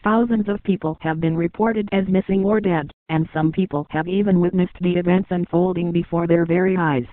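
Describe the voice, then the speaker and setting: A man's voice: high-pitched, steady tone, moderate pace, long pauses, no room sound. A man reads a scripted emergency announcement for a TV station, with a formal, impersonal delivery. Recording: narrow phone-like band, dry.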